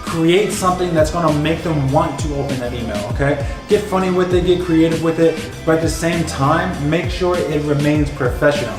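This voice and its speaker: A man's voice: fairly high pitch, moderate pace, few pauses, reverberant, music in the background. Stuffy-voiced man teaching at a whiteboard. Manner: energetic, direct, repeats "okay?" to check in. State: stuffy from a cold. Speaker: business coach. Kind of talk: whiteboard tutorial. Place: bright office by a whiteboard.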